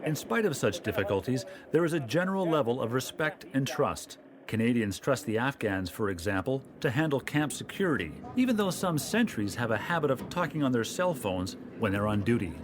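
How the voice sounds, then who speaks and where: A man giving calm, scripted documentary narration. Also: medium pitch, a little fast, hardly any pauses, noisy background.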